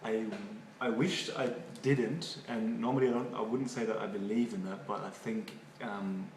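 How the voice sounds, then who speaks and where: A man from Germany with a German accent, a native German speaker, giving halting, thoughtful interview answers and often repeating words. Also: fairly low pitch, fairly even intonation, darkish tone. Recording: echoey room, far from mic, noisy.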